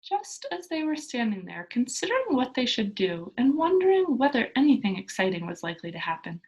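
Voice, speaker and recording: A woman reading a children's story aloud as narrator, in a deliberate, expressive storytelling manner. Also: mid-range voice, rough recording.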